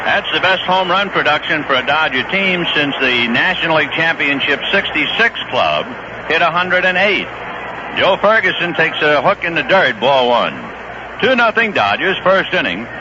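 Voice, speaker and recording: A man doing play-by-play baseball commentary on a vintage 1970s radio broadcast, brisk and rising on big plays. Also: fairly high pitch, big pitch swings, dull audio, background noise. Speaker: play-by-play announcer. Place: ballpark broadcast booth.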